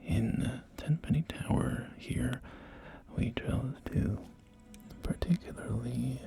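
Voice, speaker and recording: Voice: mid-range voice, creaky. A performer voicing a polite, eager post-apocalyptic real estate agent in a whispered ASMR roleplay, with courteous, hesitant sales patter. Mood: politely promotional. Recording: loud music bed under close whispering. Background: music and game audio.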